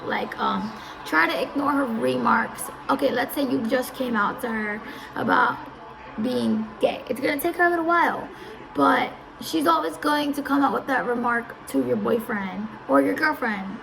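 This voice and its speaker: A young woman in her early twenties talks through advice in a loose, rambling way. Her voice sits a little high, with a heavy gravelly rasp. She drifts off track and circles back to the same point. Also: normal speed, rough recording. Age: early 20s. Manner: rambling, easily distracted, repeats herself. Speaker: audio live streamer. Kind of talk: casual advice talk.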